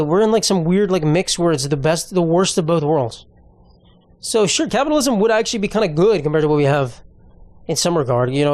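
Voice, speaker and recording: A man's voice: on the high side, darkish tone, no echo. A man hosting a podcast alone, rambling and ranting in a casual, profane way. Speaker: podcast host. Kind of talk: casual solo podcast monologue.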